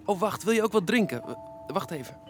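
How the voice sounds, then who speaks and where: An actor playing a kind, eager rookie fireman in a children's radio play, gently cooing and coaxing as to a dog. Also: high voice, big pitch swings, brisk, over music. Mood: gentle, caring.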